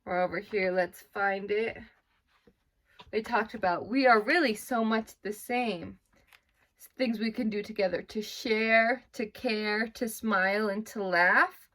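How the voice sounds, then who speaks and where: Higher-pitched woman teaching young children. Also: a bit bright. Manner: simple instructional phrasing, guiding with questions. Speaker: elementary school teacher. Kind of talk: read-aloud lesson, instructing young pupils.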